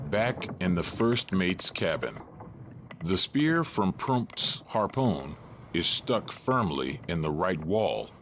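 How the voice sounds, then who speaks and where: A man narrating a scripted audio drama in a measured, deliberate way. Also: low-pitched.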